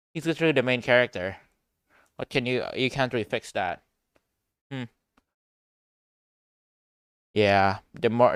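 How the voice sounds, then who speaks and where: Low-voiced man, casual streamer, dry mic. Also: smooth, no echo. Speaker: game streamer. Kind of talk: casual live reaction commentary.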